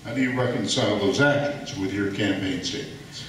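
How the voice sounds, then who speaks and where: A man's voice: on the low side, brisk, fairly bright tone. A man moderating a debate, formally reading out a question for a candidate. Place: public debate in an echoey indoor room, mic at a distance. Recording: echoey room, distant mic, faint noise.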